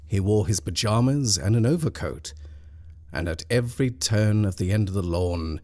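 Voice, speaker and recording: A man reading a scripted ghost story in a measured, dramatic storyteller's manner. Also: fairly low pitch, animated, darkish tone. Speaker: story reader.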